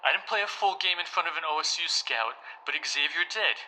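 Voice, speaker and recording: An actor playing an anxious, injured high school basketball star in an audio drama, speaking in agitated, casual slang. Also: male voice, animated, a little fast, sharp tone, a little hiss or hum, rough recording. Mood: upset, defensive. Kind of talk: scripted audio drama dialogue.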